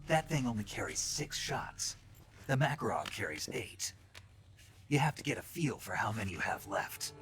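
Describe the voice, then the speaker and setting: An actor playing a calm, seasoned soldier in a video game, terse and instructive, with a gravelly delivery. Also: male voice, lowish voice, moderate pace, slight background noise, exaggerated voice. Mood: stern, instructive. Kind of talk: video game cutscene acting.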